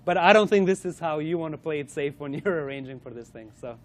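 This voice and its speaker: A man's voice: fairly high pitch, expressive, fast talker. A man presenting a corporate lunch-and-learn to a live audience, running a playful quiz and talking with frequent fillers and restarts.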